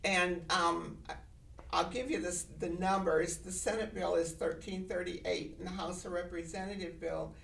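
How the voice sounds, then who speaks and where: A woman's voice: lowish voice, steady tone, normal speed, vocal fry, a bit bright, slight background noise. A woman speaking earnestly as a guest on a live community TV show, sharing information. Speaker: guest, advocate. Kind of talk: casual live TV interview. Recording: echoey, distant mic.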